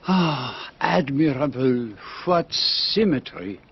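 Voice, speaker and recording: An actor playing a fussy, shrewd and courteous Belgian detective with a French-speaking accent and precise, theatrical delivery in a radio drama. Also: male voice, medium pitch, animated, slow, breathy edge, highs cut off, full character voice.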